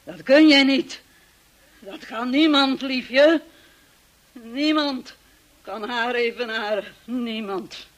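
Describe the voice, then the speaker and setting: An actress playing a hostile, obsessive housekeeper in a radio drama, speaking with slow, menacing contempt. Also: female voice, on the high side. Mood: cold, scornful. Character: hostile, obsessive, grieving housekeeper, a grown woman.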